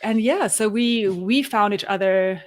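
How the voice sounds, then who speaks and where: A woman's voice: mid-range voice, unhurried. A woman in her fifties with a South African accent, co-hosting a podcast from her home office in a reflective, chatty way with many restarts. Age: 50s. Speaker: podcast co-host. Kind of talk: casual conversation.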